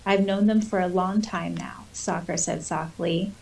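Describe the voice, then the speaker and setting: A woman's voice: on the low side, steady tone, normal speed, gravelly, a little mellow, far from the mic, rough recording. A woman co-hosting a podcast in an informal, giggly way, moving between casual chat and reading story passages. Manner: giggly, informal, uses "like" often. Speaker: podcast co-host.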